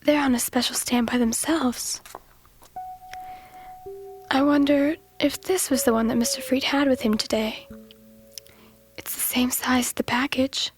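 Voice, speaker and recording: A brave, curious young woman in an audio drama. Her high voice is hushed and breathy, and she thinks aloud slowly over a music bed. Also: fairly even intonation. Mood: awed.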